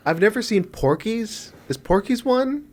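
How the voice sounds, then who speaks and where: A man's voice: high-pitched, expressive, measured pace. A man co-hosting a casual film podcast, sniffly from allergies, who rambles and corrects himself with jokes. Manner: rambling, self-correcting, jokey. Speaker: podcast co-host.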